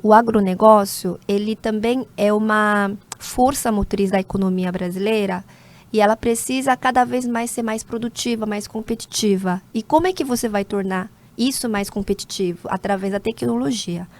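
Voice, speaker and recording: A woman in her forties, born in South Korea, answers interview questions in an unhurried, considered way. She pauses often with small hesitations as she gathers her thoughts, speaking like a corporate lawyer. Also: mid-range voice. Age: 40s. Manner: measured, with frequent hesitation fillers. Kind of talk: conversational interview.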